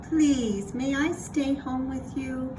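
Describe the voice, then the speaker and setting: Lady doing a little raccoon boy, high voice, anxious n pleading, storybook style, noisy recording. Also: slow. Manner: pleading, childlike. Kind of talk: children's storybook character voice.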